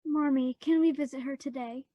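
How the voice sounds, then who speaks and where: A woman's voice: high-pitched, steady tone, a little fast, warm tone, no echo, muffled recording, lightly acted. A woman playing a remorseful young girl in a modern radio play, pleading with childlike earnestness. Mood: anxious.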